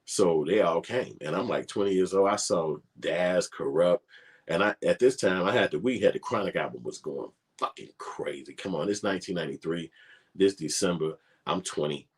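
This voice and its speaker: Very deep husky-voiced man. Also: moderate pace. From Chicago. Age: 40s. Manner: profane, laid-back storytelling with street slang. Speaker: filmmaker vlogger. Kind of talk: casual storytelling.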